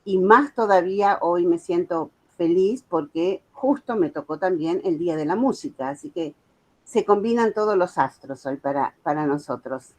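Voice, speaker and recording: A woman's voice: fairly low pitch, expressive, moderate pace, darkish tone, low-quality recording. Older Argentine woman giving a talk. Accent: Argentine, Buenos Aires. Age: 70s. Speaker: guest speaker, author and music educator. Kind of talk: prepared talk with informal asides.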